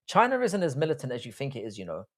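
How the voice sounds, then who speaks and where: A British man in his forties hosts a live chat at a middling pitch. He talks casually and bluntly, answering comments as they come. His manner is crude and jokey, full of everyday slang. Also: wildly swooping pitch, racing. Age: early 40s. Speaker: livestream host.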